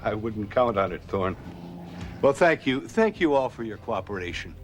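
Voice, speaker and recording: A man's voice: lowish voice, big pitch swings, moderate pace, faint music behind. A man playing a courteous doctor, polite and formal, in a scripted medical dramatization. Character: courteous male doctor introducing his patients.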